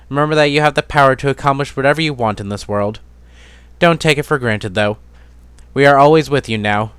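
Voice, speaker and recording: A man voicing a loving father pony reading out a farewell letter to his daughter, gentle and earnest. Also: mid-range voice, clear, resonant tone, no room sound, natural voice.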